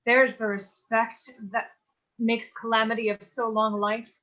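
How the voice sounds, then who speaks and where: Woman playing a bright-voiced, sensible teenage girl. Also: a little high, little pitch movement, unhurried, lo-fi sound. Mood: earnest. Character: sensible, dutiful teenage girl, a babysitter and student. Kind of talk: staged reading in Shakespearean English.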